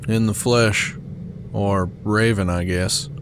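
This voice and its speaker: An actor playing a glum, resigned man with a weary deadpan delivery in an audio drama. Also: on the low side, slow, a little hiss or hum. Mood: glum resignation. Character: glum, resigned man forced to play a raven.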